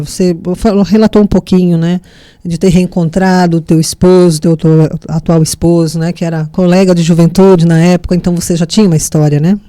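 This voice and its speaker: A woman's voice: fairly low pitch, mellow, no echo. A woman hosting a conversational interview, asking questions and giving short encouraging affirmations.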